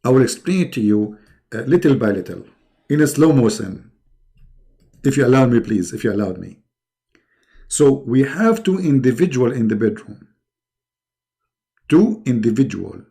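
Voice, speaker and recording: A man's voice: mid-range voice, big pitch swings, normal speed, vocal fry, long pauses, warm tone. A middle-aged man who speaks English as a second language hosts a live online debate in a mocking, emphatic, sarcastic way. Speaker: debate host.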